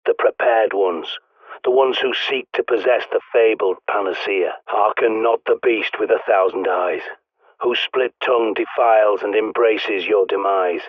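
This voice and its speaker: A gruff British survivalist man from a video game, talks over a thin, phone-like radio with no room around him. His voice sits fairly low, and he is blunt, paranoid and used to giving orders. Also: measured pace, dry recording. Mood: grave, prophetic. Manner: blunt, sweary, commanding. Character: gruff, bossy, paranoid male doomsday survivalist guiding a survivor. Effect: radio transmission filter. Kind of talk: video game character dialogue. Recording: narrow, phone-like radio sound.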